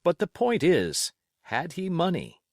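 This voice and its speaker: A man voicing a genial, level-headed gentleman doctor in an audiobook, courteous, measured and to the point. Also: a little high, wildly swooping pitch, dry recording, clean studio sound, voice pushed up, full character voice. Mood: composed, firm.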